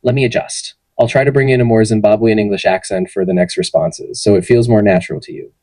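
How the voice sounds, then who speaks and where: A synthetic male assistant voice, slightly low and a little husky. He talks fast in a friendly, even, polished way. The sound is dry and close. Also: no echo. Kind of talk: conversational answers to interview questions.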